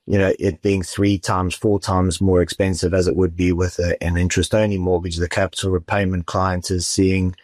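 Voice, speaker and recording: A man working as a mortgage adviser who answers interview questions in a measured, businesslike way. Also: sits low, level tone, darkish tone, dry recording.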